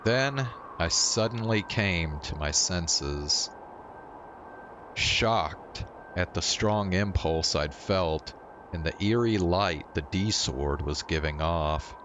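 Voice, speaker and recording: A man's voice: low-pitched, slow pace, noisy background. A man reading visual novel narration aloud in a dramatic first-person storytelling style. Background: faint game music.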